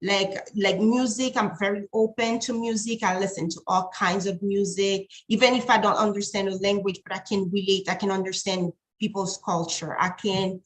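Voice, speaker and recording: A woman's voice: mid-range voice, fairly even intonation, moderate pace, hardly any pauses. A woman from Haiti, speaking thoughtfully in a casual talk show chat and often repeating words as she searches for them. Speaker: co-host.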